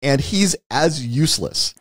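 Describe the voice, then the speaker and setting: A man's voice: medium pitch, animated, a little slow, slightly rough, no room sound. A man co-hosting a podcast in a casual film-review chat, telling stories in an emphatic, unguarded way. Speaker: podcast co-host, television producer.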